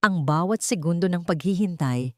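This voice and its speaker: Female narrator for audio drama: lowish voice, suspenseful and solemn, very dry sound. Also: animated, normal speed, darkish tone, no echo. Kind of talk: scripted dramatic story narration.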